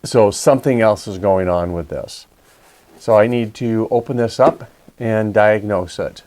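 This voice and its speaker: Low-pitched man explaining a repair. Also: measured pace, darkish tone. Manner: methodical, step-by-step explaining. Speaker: repair video host. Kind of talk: casual hands-on repair walkthrough.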